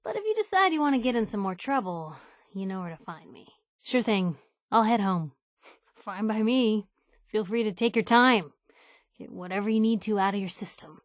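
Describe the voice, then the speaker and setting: A woman's voice: medium pitch, lively intonation, moderate pace, no room sound, studio quality, natural voice. An actress playing a spirited, nosy young woman reporter in a video game, loyal and outspoken, talking in a wry, colloquial way. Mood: casual, wry. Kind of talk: video game companion dialogue.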